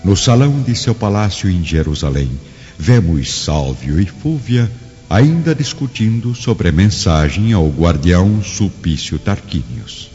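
A man's voice: sits low, sing-song, measured pace, dark tone, slightly rough. A man with a Brazilian accent giving dramatic scripted narration for a radio drama. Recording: dull lo-fi, long reverb. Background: music.

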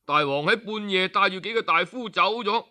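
A man's voice: high voice, moderate pace, sharp tone. A male storyteller voicing a dutiful teenage court attendant reporting humbly to his mother. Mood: deferential. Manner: deferential, apologetic.

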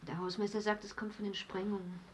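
An actress in a German radio drama playing a weary, matter-of-fact wife who talks in terse, practical sentences. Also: female voice, mid-range voice, level tone, quick pace, noisy background, lightly acted.

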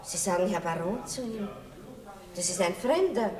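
An actress playing a worldly, aristocratic princess with an ironic, animated way of talking in a 1960s radio play. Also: female voice, medium pitch, normal speed, echoey room, crowd noise, close to an everyday voice. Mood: urgent. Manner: animated, ironic, breaks into laughter. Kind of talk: 1960s radio drama acting.

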